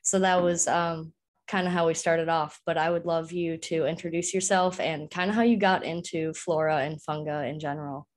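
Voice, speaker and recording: A woman's voice: low-pitched, steady tone. A young woman hosting a podcast interview, friendly and a little stumbling, speaking into a studio microphone in a small room. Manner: friendly, stumbling starts, quick backchannels. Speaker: podcast host. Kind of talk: casual interview. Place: small indoor room full of plants. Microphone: studio mic on boom arm.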